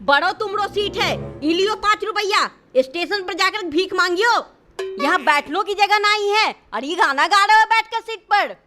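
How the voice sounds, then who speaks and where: A woman as a shrill, brash village mother. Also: lively intonation, normal speed, music in the background. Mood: scolding. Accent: rural Hindi dialect. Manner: scolding, brash. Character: bossy, quarrelsome village mother bickering on a train. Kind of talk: exaggerated cartoon dialogue.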